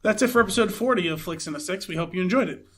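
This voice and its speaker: A man co-hosting a podcast, chatting casually about films in an animated way, often saying "like". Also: high-pitched, racing, a little hiss or hum. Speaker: podcast co-host. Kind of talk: casual film review chat.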